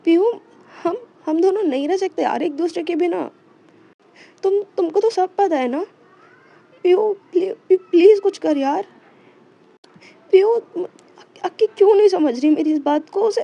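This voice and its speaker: A woman's voice: high-pitched, unhurried, pauses often, mellow, dull audio. A woman voicing a distraught young woman who cries and stammers as she begs her spouse not to leave. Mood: sobbing. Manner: stammering, tearful pleading. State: crying.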